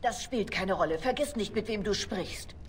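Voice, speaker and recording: A woman's voice: medium pitch, expressive, normal speed, noisy background, lightly acted. An actress voicing a commanding, secretive female spymaster in a video game, curt and imperious. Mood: stern. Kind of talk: dramatic video game dialogue. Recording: clear music behind the voice.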